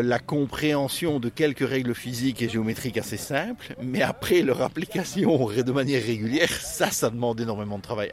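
A man answering interview questions and explaining technique in careful, technical detail, often restarting mid-phrase. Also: medium pitch. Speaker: flint-knapping expert.

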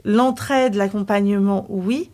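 A woman's voice: mid-range voice, steady tone, slow, darkish tone. A woman from an association, a guest in a radio studio, speaking hesitantly and searching for her words. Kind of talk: studio conversation.

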